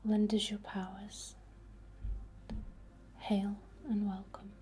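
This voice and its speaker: A woman whispering a ritual ASMR incantation in a breathy, deliberate way. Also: medium pitch, monotone, warm tone, a little hiss or hum. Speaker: ASMR witch and Reiki healer.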